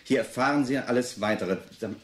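An actor playing an eager, slightly impatient husband in a radio play. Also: male voice, medium pitch, brisk, a little hiss or hum, natural voice. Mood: reading aloud. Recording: roomy echo, music behind.

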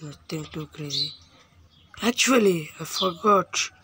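A woman hosting a let's play with casual, informal gameplay commentary, halting and often repeating phrases. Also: on the low side, expressive, a bit bright, husky.

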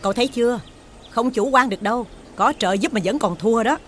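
A woman's voice: on the high side, a little fast, a bit bright, soft background music, close to an everyday voice. A woman acting an imperious, scolding noblewoman. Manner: scolding, commanding. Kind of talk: costume fairy-tale film acting.

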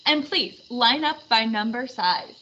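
A woman's voice: fairly high pitch, expressive, a little slow, pushed and bright, dull audio, heavily acted. A woman voicing a commanding, shrewd medieval earl in a storybook.

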